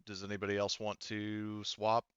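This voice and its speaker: A man narrating a casual tabletop roleplaying game as game master, halting and thinking aloud as he works through rules. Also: on the low side, completely flat delivery, smooth, no room sound, muffled recording.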